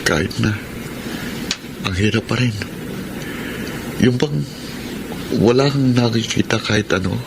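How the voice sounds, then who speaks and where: An actor playing an emotional, remorseful philandering husband in a Filipino radio drama. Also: male voice, medium pitch, unhurried, gravelly, pauses often, background noise. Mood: despondent. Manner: emotional, pleading. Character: remorseful philandering husband, blinded in a car crash. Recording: loud dramatic music behind the voice. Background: loud music.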